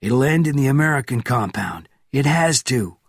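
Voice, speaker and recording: A man voicing a calm, hardened male agent who speaks low and firmly. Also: normal speed, no echo, studio quality. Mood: calm, urgent.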